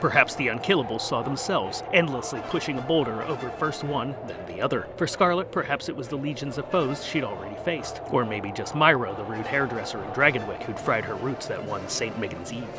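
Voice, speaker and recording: A man reads a scripted comic fantasy tale as its narrator. His delivery is wry and dramatic at a medium pitch, with clear music running underneath. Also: lively intonation, brisk, hardly any pauses.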